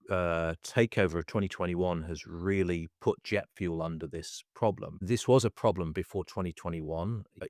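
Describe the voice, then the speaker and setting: Male podcast host, very deep, halting with fillers, dry studio sound. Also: no echo. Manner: hesitant, many fillers and restarts. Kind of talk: casual conversational podcast.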